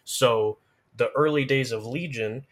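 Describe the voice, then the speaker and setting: A man's voice: mid-range voice, a little slow. A man talking as a podcast guest, casually explaining things in detail like someone who knows the subject well. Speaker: podcast guest, game lore and gameplay expert.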